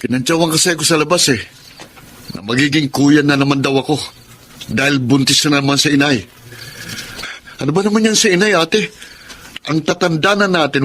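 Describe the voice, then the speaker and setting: On a noisy radio drama track, an actor plays an earnest, protective working-class young man. His voice sits slightly high. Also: a bit bright, close to an everyday voice. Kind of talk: Tagalog radio drama acting.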